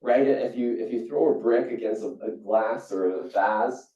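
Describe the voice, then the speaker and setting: Man telling stories in an echoey hall. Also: moderate pace. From Singapore. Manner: storytelling, animated with jumps in pitch and frequent restarts. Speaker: keynote speaker. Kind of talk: motivational keynote talk. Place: conference hall. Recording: echoey hall, distant and dull.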